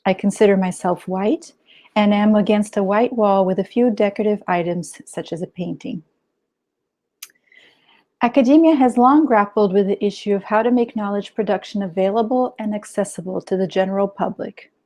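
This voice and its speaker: Mellow-voiced woman reading an academic paper. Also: mid-range voice, normal speed. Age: 30s. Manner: steady, formal reading. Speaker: anthropology PhD student. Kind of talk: read academic conference talk.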